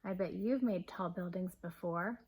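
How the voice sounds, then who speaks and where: A woman, a kindergarten teacher, reads a picture book aloud gently and expressively, pausing to ask young listeners questions. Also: lowish voice, husky.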